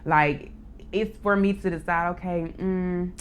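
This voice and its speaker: A young woman with a deep voice, chatting candidly as an interview guest, filling her talk with "like" and "um". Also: a little slow, a little hiss or hum. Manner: relaxed, candid storytelling with frequent "like" and "um". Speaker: interview guest, poet and rapper. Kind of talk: casual interview chat.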